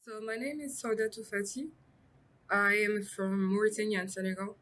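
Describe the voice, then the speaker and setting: A young woman student giving chatty, lively interview answers. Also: medium pitch, normal speed. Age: young adult.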